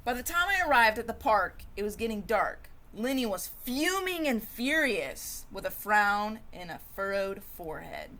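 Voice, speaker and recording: Expressive woman reading a children's story. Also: mid-range voice, normal speed, hardly any pauses. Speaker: storybook reader. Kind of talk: expressive children's read-aloud narration.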